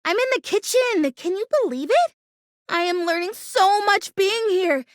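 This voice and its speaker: A super high perky little houseplant girl for a video game, gushy and rambly, voice bouncing all over, dry close sound. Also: animated, unhurried, no room sound. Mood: bubbly, excited. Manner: bubbly, gushing, rambling, easily wounded. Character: perky, chatty young female plastic houseplant who longs to be real. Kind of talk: animated video game character acting.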